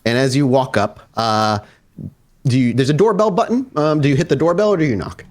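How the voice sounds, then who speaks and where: A man narrating a tabletop roleplaying game as storyteller, describing scenes off the cuff with pauses. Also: mid-range voice.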